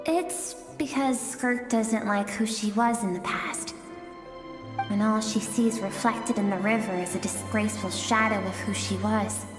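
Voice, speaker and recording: A woman voicing a calm, mysterious young female spirit who dwells in another's inner world, speaking gently. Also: mid-range voice, a little slow, close to an everyday voice. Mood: gentle. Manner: soft, gentle, reflective. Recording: echoey dreamlike reverb under music.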